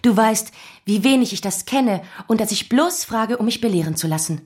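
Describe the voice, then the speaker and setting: A woman's voice: a little high, expressive, normal speed, darkish tone, natural voice. Actress playing an insinuating, mock-innocent lady's companion. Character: sardonic thirtyish lady's companion feigning meek ignorance. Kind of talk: German radio drama acting.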